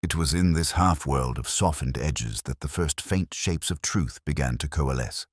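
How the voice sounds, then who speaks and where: A man reading a scripted first-person detective story in a measured, brooding, literary manner. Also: a bass voice, slightly rough, dry recording, clean studio sound. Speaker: narrator.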